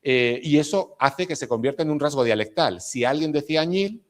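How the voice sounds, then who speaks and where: A man's voice: a little high, expressive, brisk. A middle-aged man from Spain with a Spanish accent touched by Argentine habits, giving an academic lecture and often checking in with the audience. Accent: Spanish with some Argentine features. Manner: explanatory, with frequent tag questions to the audience.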